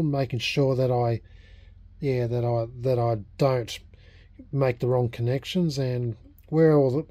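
An Australian man talking casually and unscripted in a workbench vlog, halting and thinking aloud as a hobbyist. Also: medium pitch, warm tone, no room sound. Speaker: hobbyist vlogger restoring a vintage radio. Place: small indoor home workshop at a bench.

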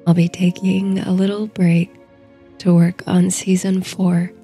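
A woman narrating a bedtime story and guided meditation in a soothing, hushed, softly breathy voice. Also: fairly low pitch, little pitch movement, soft background music. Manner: soothing, hushed, unhurried delivery. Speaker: host and narrator of a sleep story series.

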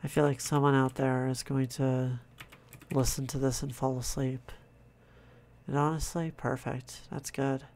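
A man quietly narrating his own drawing process, in a soft-spoken, calm way, thinking out loud. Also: medium pitch, unhurried, vocal fry. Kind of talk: casual relaxed commentary over drawing.

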